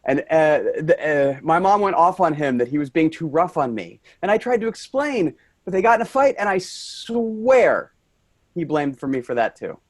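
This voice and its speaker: A man's voice: on the high side, very animated, normal speed, no echo, clean studio sound. A man playing an earnest, rambling office worker, tipsy and brooding, in a staged play reading. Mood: wistful, tipsy.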